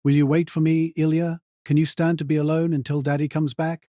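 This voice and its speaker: A man playing a tender father who talks gently and reassuringly to his young child in an audio drama. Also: on the high side, little pitch movement, fairly quick, dry recording, clean studio sound, close to an everyday voice. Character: tender, burdened father talking to his little daughter.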